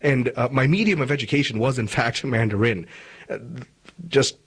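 A man's voice: mid-range voice, moderate pace, a little hiss or hum. A man from Malaysia hosting a radio interview show, speaking in a formal, articulate and emphatic way, with commentary. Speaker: talk show host.

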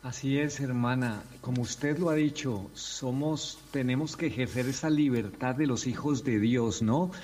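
A man's voice: medium pitch, measured pace, hardly any pauses, a little mellow. A man with a Colombian accent, a missionary and lecturer, answering politely and explaining things as a radio interview guest. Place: remote connection from Lima.

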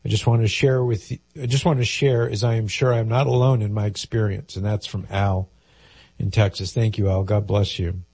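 Husky, nasal man hosting call-in radio. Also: on the low side, level tone, moderate pace, darkish tone, no echo. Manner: conversational, explains at length with fillers. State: head cold, a little nasal.

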